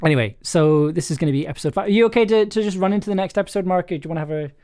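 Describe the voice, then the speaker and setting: A man hosting a casual livestream chat, talking in a halting, self-interrupting way and prone to chuckling mid-sentence. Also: high-pitched, a little mellow. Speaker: streaming host and audio drama maker.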